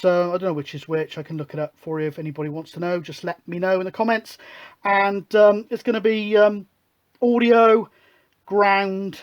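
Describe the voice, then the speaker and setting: A hobbyist presenter with a mid-range voice talks through a technical demonstration. The delivery is casual and chatty, like someone working things out aloud at the bench. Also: medium pitch. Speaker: hobbyist radio show host. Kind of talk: informal hands-on technical demonstration.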